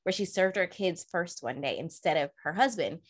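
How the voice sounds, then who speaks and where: A young adult woman hosting a casual chat, talking bluntly and acting out quoted remarks in an expressive voice. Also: on the low side, normal speed, husky. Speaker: podcast host.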